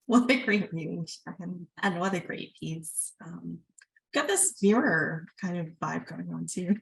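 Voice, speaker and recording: A woman hosting an event in a casual, chatty way, speaking haltingly. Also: fairly low pitch, animated, vocal fry, husky, rough recording. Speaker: event host.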